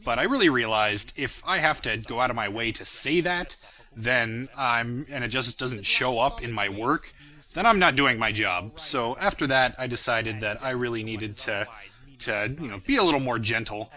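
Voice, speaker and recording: A young man with a strikingly bright, sharp voice gives an informal commentary on his own work. He rambles reflectively and pokes fun at himself. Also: medium pitch, moderate pace. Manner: self-deprecating, rambling, reflective. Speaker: creator of a fan parody series, commentator.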